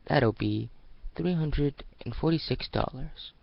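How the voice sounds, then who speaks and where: A man's voice: a little high, takes it slowly, vocal fry, lo-fi sound, slight background noise, pitched above the performer's own voice. A man voicing a female store cashier with a polite, strained manner. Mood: subdued. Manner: polite, forced.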